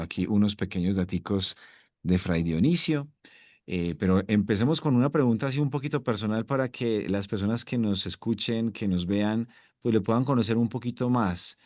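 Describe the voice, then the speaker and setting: A man's voice: on the low side, no echo. A man hosting a formal interview, courteously introducing his guest and asking questions over a video call. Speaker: interviewer, host. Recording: dry, dull lo-fi call audio.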